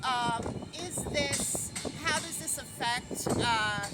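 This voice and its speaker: A middle-aged woman, a local resident advocate, giving a walking tour of her street in an earnest, thoughtful way with many pauses and ums, filmed on a handheld camera outdoors. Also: sits high, loud music behind the voice. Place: city street outdoors by the waterfront. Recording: loud street traffic noise. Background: traffic and construction noise.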